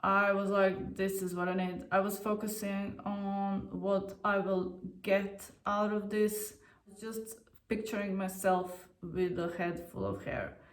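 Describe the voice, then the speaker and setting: Slow, candid woman in an echoey room. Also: mid-range voice, little pitch movement. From Croatia. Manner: unhurried, candid, with many fillers. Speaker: vlogger. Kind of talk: personal storytelling to camera. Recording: roomy sound, mic at a distance.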